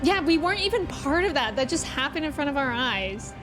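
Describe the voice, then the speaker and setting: A woman's voice: sits high, expressive, fairly quick, over music. A woman livestreaming a video game, chatting casually and expressively as she reacts and reads chat messages aloud.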